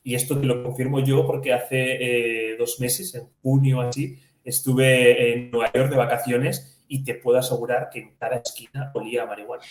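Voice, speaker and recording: A man's voice: medium pitch, level tone, moderate pace, hardly any pauses. A man from co-hosting a financial podcast, explaining conversationally and posing questions he then answers. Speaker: podcast co-host. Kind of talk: conversational financial news discussion. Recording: echoey room.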